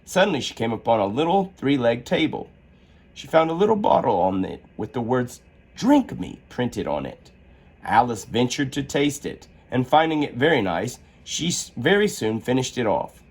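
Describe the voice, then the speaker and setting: A man reading a children's storybook aloud as an expressive storyteller. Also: medium pitch, moderate pace, slightly rough.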